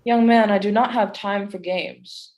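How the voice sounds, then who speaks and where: A woman's voice: medium pitch, steady tone, a little slow, darkish tone, muffled recording, natural voice. A woman playing a cold, commanding female doctor in a staged play reading, clipped, formal and haughty. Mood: impatient.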